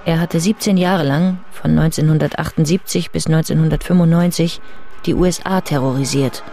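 A man's voice: on the high side, a little mellow, natural voice. A man narrating a radio crime drama in a sober, observant, scripted style.

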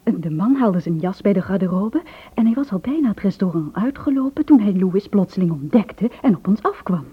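A woman's voice: medium pitch, lively intonation, a little fast, slightly rough. An actress playing a nervous, secretive young married woman who confides in a hesitant, earnest way in a radio drama. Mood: tense recounting. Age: 20s. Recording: muffled old radio play recording.

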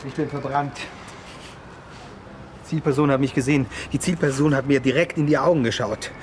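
A man's voice: mid-range voice, fairly even intonation, a little fast, slight background noise, natural voice. An actor playing an anxious, protective man on surveillance in a radio drama, agitated and emphatic, repeating himself. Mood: frustrated. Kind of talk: naturalistic radio drama acting.

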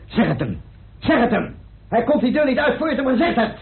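Very husky, defiant suspect. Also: male voice, very high-pitched, very animated, brisk, heavily acted. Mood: agitated, demanding. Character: defiant, scornful male suspect in a murder mystery. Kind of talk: radio play acting in Dutch. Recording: muffled old radio drama recording.

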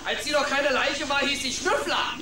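A man voicing an excitable, mocking male sewer rat with a crude tongue in a children's radio play. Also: very high-pitched, moderate pace. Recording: echoey, distant, loud music behind.

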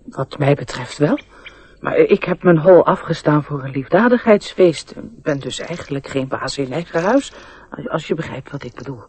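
A man's voice: fairly high pitch, expressive, moderate pace, a little mellow, husky. A man acting a courteous, aggrieved rabbit with a lamenting manner in a radio play. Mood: polite, resigned. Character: polite, aggrieved adult rabbit burrow owner.

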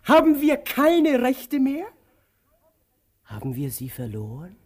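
A man acting a weary, childlike tramp in a radio play, plaintive and halting, swinging abruptly from murmur to outcry. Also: very high voice, wildly swooping pitch, darkish tone, heavily acted. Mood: puzzled, subdued. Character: weary, childlike, querulous tramp, needy and forgetful. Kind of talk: theatrical radio play dialogue.

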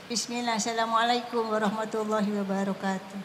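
A woman from Indonesia speaks up from the audience with a question. She is hesitant and apologetic, pausing on fillers as she finds her words. Faint background noise sits under her voice. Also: a little high, flat delivery. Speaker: audience member asking a question. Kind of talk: question from the floor.

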